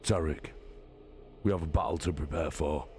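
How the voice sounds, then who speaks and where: A man's voice: very deep voice, fairly even intonation, moderate pace, darkish tone, a little hiss or hum. A man voicing a sober, weary soldier captain, grave and matter-of-fact, in a dramatic book reading. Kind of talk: dramatic audiobook character reading.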